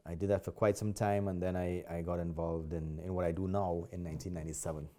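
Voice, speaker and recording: Deep-voiced Trinidadian man, mellow tone. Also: little pitch movement. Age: 40s or 50s. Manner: polite and unhurried, with frequent ums and restarts. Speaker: guest, martial arts instructor. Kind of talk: casual interview.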